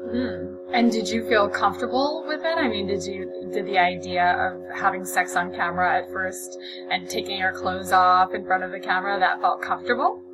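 A woman interviewer asking brief, gentle questions. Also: mid-range voice, fairly even intonation, moderate pace, few pauses, low-quality recording. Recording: interview with music behind.

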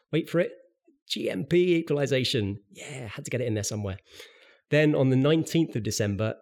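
A man hosting a podcast, reading a scripted pensions news update with dry, wry asides, sounding a little bunged up from a cold. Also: lowish voice. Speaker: podcast host.

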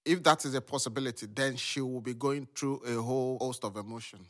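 A man's voice: medium pitch, fairly quick, close to an everyday voice. A man acting a middle-aged father, firm but understanding, in a modern audio drama. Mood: concerned.

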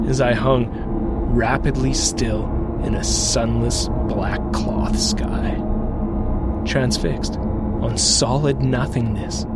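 A man's voice: mid-range voice, natural voice. A man playing a haunted pilot, narrating a horror audio drama in a solemn, dramatic and ornate manner. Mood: haunted, dreadful. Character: haunted male robot pilot recounting cosmic horror. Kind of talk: scripted first-person horror narration.

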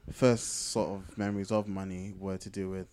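A man with a fairly low voice talks casually as a podcast co-host. He explains himself reflectively, stopping and rephrasing as he works through his point. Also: little pitch movement, normal speed, a little mellow, slight background noise. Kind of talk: casual conversational podcast chat.

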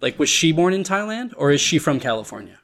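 A man's voice: a little high, big pitch swings, fast, fairly bright tone. A man interviewing a guest, asking curious, hesitant questions with many fillers.

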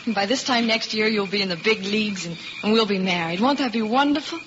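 A woman's voice: medium pitch, fast, close to an everyday voice. A woman playing a pert, devoted young fiancée in 1950s radio acting style. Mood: tender. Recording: dull, noisy old radio recording.

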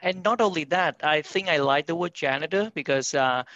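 A man's voice: fairly high pitch, moderate pace, bright, forceful tone, dry recording. Man from Vietnam, dull dry audio. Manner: frequent fillers, non-native grammar. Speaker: company founder and CEO. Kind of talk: podcast interview.

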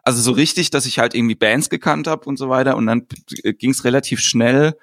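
A man hosting a casual podcast, telling stories in a relaxed way with dry humor. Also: mid-range voice, brisk, no room sound.

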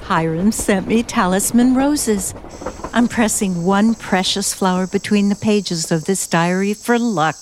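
An actress playing a naive, romantic, anxious newlywed bride in an old-time suspense radio drama, with gushing, halting speech. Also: female voice, medium pitch, slight background noise, natural voice, rough recording. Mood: dreamy, pleased.

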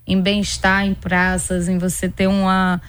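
A woman's voice: on the low side, completely flat delivery, a little slow, a bit bright, clear, resonant tone. A woman doctor from Pernambuco with a Northeastern Brazilian accent, explaining things conversationally with everyday examples as an interview guest.

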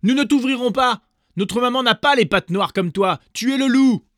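A man voicing a group of little goat kids, high and shrill. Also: big pitch swings, normal speed, dry recording, studio quality. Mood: shouting. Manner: shrill, shouting.

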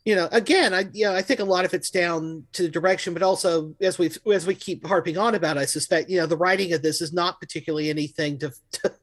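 A man's voice: high voice, fairly even intonation, quick pace, hardly any pauses. Man in a wry, rambling review chat. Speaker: podcast host reviewing audio dramas. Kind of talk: casual panel review chat.

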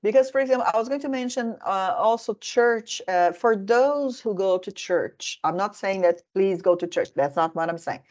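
A woman's voice: mid-range voice, animated, normal speed, few pauses, a little mellow. Woman, host, casual talk, chatty and rambling, muffled lo-fi sound. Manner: chatty, digressive, many fillers. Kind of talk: casual discussion.

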